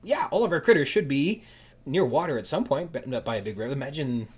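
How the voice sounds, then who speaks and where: A man hosting a podcast in casual conversation, thinking aloud in a halting, musing way and often rephrasing mid-thought. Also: medium pitch, animated, slightly rough. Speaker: podcast host. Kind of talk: casual interview chat.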